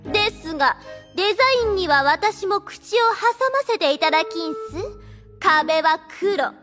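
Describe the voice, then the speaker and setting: Haughty vampire girl, very high. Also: expressive, unhurried, a bit bright, soft background music, heavily acted. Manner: archaic courtesan-style diction, taunting. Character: haughty vampire girl with archaic courtesan speech. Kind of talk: Japanese anime audio drama acting.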